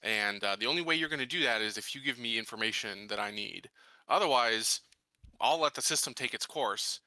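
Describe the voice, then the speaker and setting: A man talking casually as a player in a tabletop roleplaying game, thinking aloud in a deliberate, halting way. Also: medium pitch, bright, forceful tone, dry recording. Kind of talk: casual in-character and out-of-character game talk.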